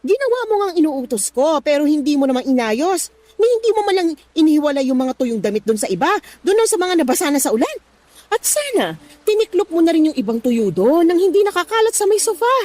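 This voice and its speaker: Middle-aged mother, Filipino accent, high-pitched, nagging, exasperated, radio drama delivery. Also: big pitch swings, fast, darkish tone, no echo. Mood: scolding. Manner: scolding, sighing, muttering to herself.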